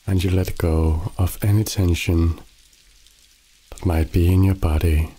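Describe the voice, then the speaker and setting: A man guiding a sleep meditation in a slow, soothing, calm voice. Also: low-pitched, slightly rough. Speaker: meditation guide. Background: rain sounds.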